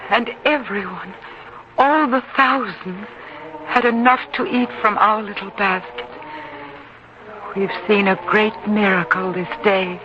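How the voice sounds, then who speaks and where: An actress playing a wise mother in an old-time radio drama, gently admonishing her grown son in measured, earnest tones. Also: medium pitch, natural voice. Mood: awed. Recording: dull, noisy old-time radio recording.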